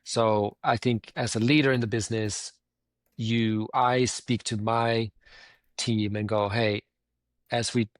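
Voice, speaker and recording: A man's voice: fairly low pitch, level tone, slow pace, no echo. A man with a Swedish accent, a company founder answering interview questions in an earnest way, often circling back to restate his points.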